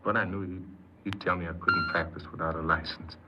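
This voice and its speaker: An actor playing an earnest, insecure paroled ex-convict who tells his story haltingly in a 1950s radio drama. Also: sits low, lightly acted. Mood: regretful, hesitant. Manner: hesitant, halting, repeats words. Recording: noisy muffled 1950s radio recording.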